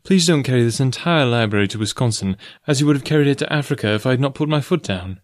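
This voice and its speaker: A man's voice: medium pitch, expressive, fast, dry recording, studio quality, lightly acted. A man voicing a polite, firm, proud young woman in an audiobook story. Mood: polite, brisk. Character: proud, composed young woman, professor's daughter.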